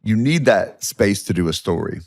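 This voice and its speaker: Deep-voiced Southern man telling stories. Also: lively intonation, moderate pace, no room sound, clean studio sound. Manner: self-deprecating storyteller, frequent restarts. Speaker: guest, history professor and comedian. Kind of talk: casual podcast chat.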